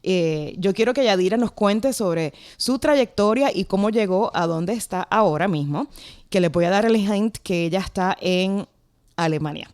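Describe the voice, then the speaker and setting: A woman's voice: mid-range voice, moderate pace, a little mellow, dry recording, studio quality. A middle-aged Puerto Rican woman with a Puerto Rican accent, hosting a casual podcast interview in a chatty, friendly way. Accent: Puerto Rican Spanish. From Puerto Rico. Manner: friendly, chatty, laughs easily. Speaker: podcast host. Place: at home at night.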